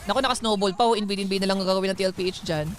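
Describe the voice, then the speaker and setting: A Filipino commentator giving casual, excitable live commentary on a game, exclaiming and repeating phrases. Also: medium pitch, rapid-fire. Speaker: esports match commentator. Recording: clear background noise.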